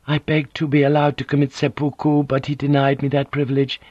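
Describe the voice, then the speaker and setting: A man voicing a gentle, composed Japanese noblewoman who speaks softly and formally. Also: mid-range voice, monotone, brisk, no room sound, lo-fi sound, voice pushed up, lightly acted. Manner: soft, formal, careful speech.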